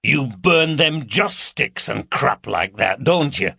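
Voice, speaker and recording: Audiobook role: burly male intruder played by a man, crude, leering, swaggering, animated intonation. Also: mid-range voice, slow pace, vocal fry, slightly rough, no echo, clean studio sound, pitched above the performer's own voice, exaggerated voice. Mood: mocking. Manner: mocking, lewd, blunt. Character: huge, bearded, jeering, crude male intruder.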